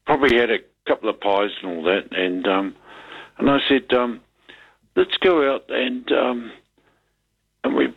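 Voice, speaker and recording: Older nz bloke calling in, um-ing and repeating himself, gravelly low voice, tinny phone line. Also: male voice, unhurried, pauses often. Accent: New Zealand. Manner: hesitant and rambling, full of ums and repeats. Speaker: caller. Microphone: telephone.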